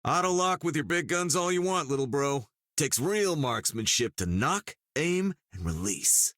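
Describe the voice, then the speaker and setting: A confident American superhero archer for a video game. The delivery is wry and casual, with lively swings in pitch, recorded dry and close. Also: male voice, measured pace, dry recording. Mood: cocky.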